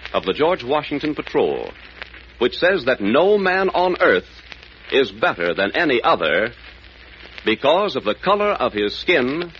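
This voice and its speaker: Solemn, animated male radio announcer. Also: mid-range voice. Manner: solemn, declamatory. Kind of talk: scripted 1940s radio public appeal. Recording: muffled old radio recording, faint noise.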